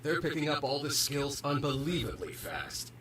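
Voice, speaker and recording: An actor playing a friendly, easygoing male site worker in a game, talking in a relaxed, chatty way. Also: a little high, normal speed, recorded from a distance, a little hiss or hum. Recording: roomy game audio with music.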